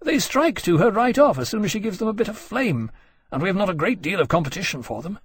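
A man's voice: high-pitched, sing-song, fast, husky, no echo, studio quality, voice pushed up, full character voice. A man voicing a harried military captain, frank, rueful and easily embarrassed, speaking emphatically in an audiobook story.

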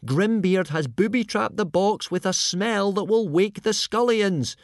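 A man's voice: high-pitched, a little slow, no echo, clean studio sound, pitched above the performer's own voice. Man voicing an anxious young Viking boy. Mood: frantic alarm. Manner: wary, urgent.

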